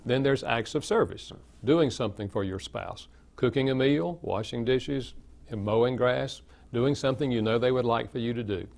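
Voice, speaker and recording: An older man with a Southern American accent, an author answering interview questions in a steady, explanatory way. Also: medium pitch, moderate pace, creaky. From Southern United States. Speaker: author and guest. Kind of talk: interview conversation.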